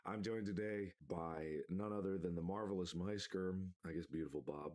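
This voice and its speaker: A man hosting a casual podcast with a lost voice, hoarse and half-whispering, in a playful mock-grandiose manner. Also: sits low, moderate pace, darkish tone. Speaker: podcast host.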